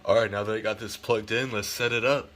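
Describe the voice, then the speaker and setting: Low-voiced man, casual unboxing vlog. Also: fairly even intonation, fairly quick, noisy background. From United States. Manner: informal, occasionally swearing. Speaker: hobbyist video host. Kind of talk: casual unboxing and setup demo.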